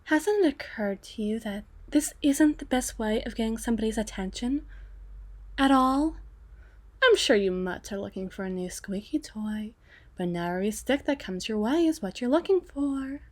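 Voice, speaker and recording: Woman doing a vampire girl for asmr roleplay, kinda high voice, prim and cocky, teasing and chatty. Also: lively intonation. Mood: taunting, menacing. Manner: playfully prim, teasing, with drawn-out phrases and asides. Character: small, proper, cocky female vampire, chatty and protective.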